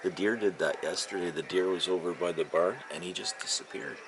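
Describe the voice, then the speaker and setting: A man giving casual, rambling, unhurried vlog commentary, talking to himself and his viewers as an amateur hobbyist. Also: low-pitched, background noise. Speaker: amateur vlogger and ham radio hobbyist.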